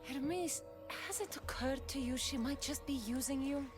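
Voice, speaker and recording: A woman's voice: sits high, moderate pace, natural voice. Woman acting blunt, self-assured mercenary heroine over music. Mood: wary, warning. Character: Kassandra, tough skeptical female mercenary of ancient Greece. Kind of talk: video game cutscene acting.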